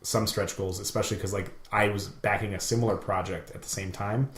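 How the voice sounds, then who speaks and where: A man's voice: lowish voice, fairly even intonation, a little fast, a bit bright. A man co-hosting a podcast, rambling casually about games in slangy, sweary storytelling. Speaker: podcast co-host. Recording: echoey room, faint background noise.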